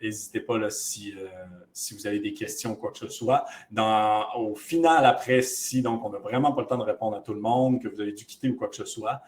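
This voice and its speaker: A man's voice: fairly low pitch, darkish tone. A man moderating a webinar, introducing the speaker and going over housekeeping in a casual way, with many fillers, over a video call.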